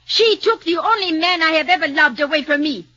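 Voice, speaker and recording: A sly, coarse woman hiding a scarred face behind a veil. Her voice is high, bright and swoops up and down as she wheedles, heard through a dull, lo-fi old radio broadcast. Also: animated, a little fast, heavily acted. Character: scarred bitter middle-aged woman in a veil, sly and rough. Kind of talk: 1940s radio acting.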